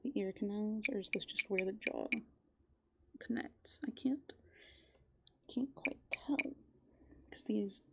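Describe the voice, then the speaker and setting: A soft-spoken woman doing rambling, playful ASMR as a raccoon, musing aloud and often slipping into whispers. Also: mid-range voice, takes it slowly, gravelly, long pauses, breathy edge. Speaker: ASMR host. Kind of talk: furry roleplay ASMR, improvised rambling.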